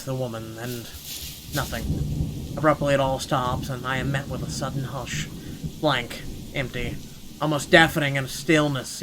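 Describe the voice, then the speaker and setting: Expressive man narrating over clear background noise. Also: mid-range voice. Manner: expressive, sweary commentary between read passages. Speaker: gaming video host reading a visual novel aloud. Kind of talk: dramatic first-person narration with blunt reaction asides.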